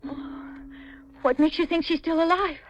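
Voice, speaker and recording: A woman's voice: very high-pitched, fairly even intonation, moderate pace. An actress playing an anxious young woman unsure of who she is, hesitant and nervous, in a 1950s radio mystery. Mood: wary. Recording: muffled, noisy 1950s radio recording.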